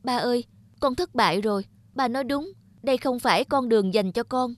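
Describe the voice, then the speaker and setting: An actor playing an earnest young animator son who longs for approval, speaking emotionally in an animated drama. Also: male voice, very high voice, a little fast, noisy background. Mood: dejected. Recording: background music under the voice.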